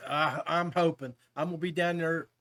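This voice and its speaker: High-pitched American man hosting a podcast. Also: fairly even intonation, moderate pace, fairly bright tone. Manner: friendly, chummy, appreciative. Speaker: podcast host. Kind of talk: casual fishing podcast interview.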